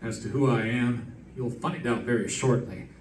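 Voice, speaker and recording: A man plays a threatening mystery caller from a vintage radio crime show. His medium-pitched voice is set back from the mic in a roomy space. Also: normal speed, recorded from a distance, close to an everyday voice. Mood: menacing, insistent. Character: menacing anonymous male caller warning off a detective. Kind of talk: 1940s radio detective acting. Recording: echoey stage sound, off mic.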